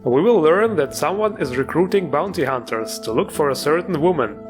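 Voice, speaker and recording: A man's voice: on the high side, expressive, moderate pace, music in the background. A man hosting a video game mod show, giving informal, enthusiastic commentary with a joking streak. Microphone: voice-over recorded over gameplay music.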